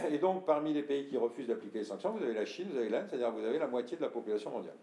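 A man's voice: medium pitch, animated, very fast, dark tone. Older Frenchman lecturing in an echoey room. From France. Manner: explanatory, addresses audience directly, frequent throat clearing. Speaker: speaker giving a talk. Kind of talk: lecture with audience questions. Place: room with audience.

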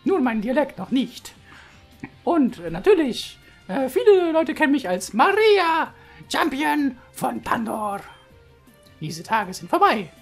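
A man voicing a female gym leader with a thick made-up foreign accent, in a high falsetto that keeps breaking into giggles. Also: very animated, measured pace, gravelly, soft background music, full character voice. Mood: playful. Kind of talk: reading game dialogue aloud in a put-on voice.

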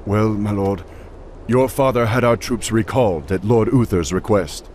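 An actor playing a bold, loyal army captain in a fantasy game, blunt and soldierly. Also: male voice, lowish voice, moderate pace, a little mellow, a little hiss or hum, lightly acted. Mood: deferential. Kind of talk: fantasy video game cutscene acting.

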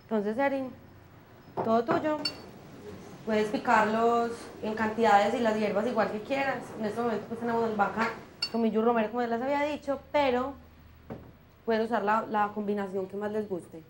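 A young woman hosting a cooking show, explaining each step to viewers in a brisk, friendly way from a small studio kitchen. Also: on the high side, fairly even intonation, background noise. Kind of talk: friendly televised cooking lesson.

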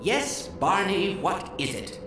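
An actor playing a fussy, kindly, formal older scientist speaking over a video link in a video game. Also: male voice, sits high, sing-song, slow, exaggerated voice. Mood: flustered, genial. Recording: very echoey, noisy video link.

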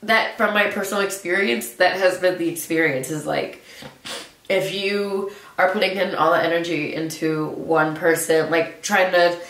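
A young woman with a stuffy nose, musing casually to camera in a relaxed way, with lots of filler words. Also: lowish voice, normal speed. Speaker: vlogger. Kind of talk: casual reflective monologue to camera. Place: small room indoors, seated in a hanging chair. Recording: roomy echo, mic at a distance.

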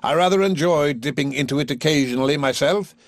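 A man playing a genial, courteous older gentleman in a play reading, chatty and well pleased. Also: a little high, brisk, no echo, voice pushed up, natural voice.

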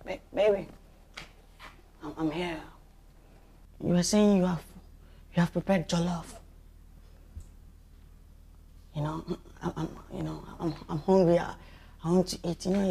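A woman playing a smitten husband who stammers and begs softly, over music in a comedy skit. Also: deep voice, gravelly, a little hiss or hum. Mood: fawning. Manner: soft, stammering, begging. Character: pleading smitten husband, man, grown.

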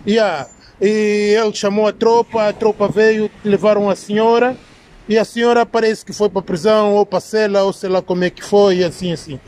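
A man in his thirties with an Angolan accent giving emphatic, opinionated commentary to his phone, repeating himself and pausing to search for words. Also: high voice, fairly even intonation, darkish tone. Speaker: social media commentator. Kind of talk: opinionated vlog commentary to camera. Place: outdoors in an alley beside brick buildings. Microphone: phone at arm's length. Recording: clear outdoor street and traffic noise.

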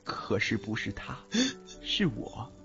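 A brash teenage boy acted by a man who teases and dotes, with very animated pitch. He speaks in radio-play style over clear background music. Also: takes it slowly, highs cut off, full character voice. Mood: brusque. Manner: teasing, affectionate. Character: brash, teasing, doting teenage schoolboy. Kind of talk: Mandarin audio drama acting. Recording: band-limited, background music throughout.

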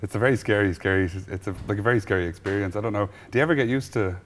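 Low-pitched rambling Irish male host. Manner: rambling, halting, jokey. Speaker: interview host. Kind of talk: casual chat. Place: cluttered warehouse room, on a couch. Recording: faint background noise with clicks.

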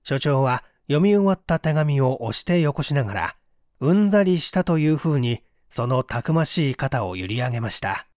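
Lively-pitched male mystery audiobook narrator. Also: normal speed, dry recording, studio quality. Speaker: audiobook reader, stage actor. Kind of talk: dramatic narration of a mystery story.

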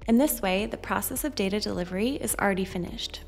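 A woman narrates a scripted software tutorial in a mid-pitched voice. Her delivery is measured and instructional, with music playing faintly behind her. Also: vocal fry. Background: background music.

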